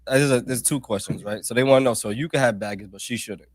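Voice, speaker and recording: A man's voice: mid-range voice, fast, a little hiss or hum. A man, a podcast co-host, challenging the others with pointed questions in a heated casual debate.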